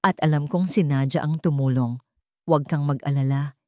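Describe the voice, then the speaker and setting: A dry recording of a scheming man voiced by a woman storyteller with a Filipino accent, fairly low. He sounds measured and earnest, insinuating under feigned concern in a dramatic story. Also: mellow, no room sound, lightly acted.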